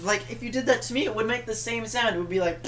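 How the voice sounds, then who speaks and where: A woman's voice: on the high side, fast, a bit bright. A woman co-hosting a story stream in casual, informal chat. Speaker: co-host of improv story stream.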